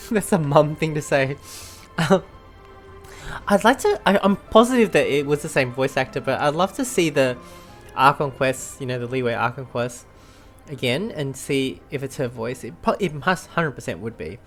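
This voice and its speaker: A woman's voice: rumbling low, lively intonation, moderate pace. A woman casually commenting as she plays a video game, with amused, giggly asides. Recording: game music behind the voice. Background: game music.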